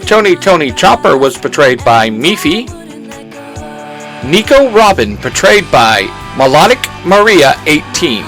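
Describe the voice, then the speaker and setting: A man hosting his own podcast, reading out credits and giving shout-outs. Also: fairly high pitch, poor audio. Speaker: podcast creator and host.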